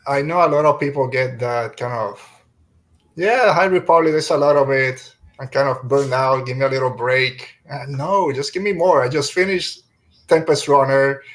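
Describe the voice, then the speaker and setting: A man's voice: mid-range voice, normal speed, a bit bright. A man hosting a podcast in a casual, rambling, joking way. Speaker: podcast host.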